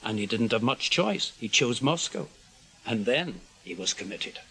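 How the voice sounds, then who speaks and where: An actor playing an older British intelligence officer in a radio drama, guarded and weary, speaking in measured, clipped phrases. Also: male voice, mid-range voice, very animated, pushed and bright, husky, heavily acted, rough recording. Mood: measured recounting. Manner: measured, clipped, explanatory delivery in short phrases. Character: senior male British intelligence officer, guarded, weary, conscience-burdened spymaster.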